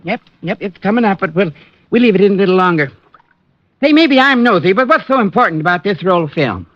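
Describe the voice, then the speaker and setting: An actor playing a nosy, excitable photo developer in a vintage radio drama. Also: male voice, high-pitched, brisk, dry recording, faint music behind. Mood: curious. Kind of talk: old-time radio drama acting. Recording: muffled, hissy old radio broadcast.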